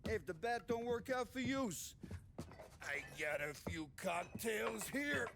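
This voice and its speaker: An actor playing a jovial, colourful 1930s gangland arms supplier with an American accent, playful and drawn-out, in a cinematic game. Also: male voice, high-pitched, animated, gravelly, over music, full character voice. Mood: gleeful, cautioning. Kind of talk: cinematic video game acting. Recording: loud background noise.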